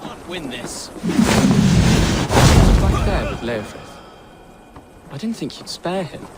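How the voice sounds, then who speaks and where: A man's voice: on the high side, very animated, takes it slowly, very noisy. An actor playing an earnest young prince after his first battle. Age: young adult. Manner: earnest, eager. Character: young prince, eager and brave.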